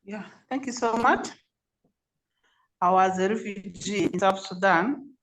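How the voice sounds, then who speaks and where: A man's voice: high-pitched, unhurried, a bit bright, rough recording. A man with a Ugandan accent telling a personal story in an online meeting.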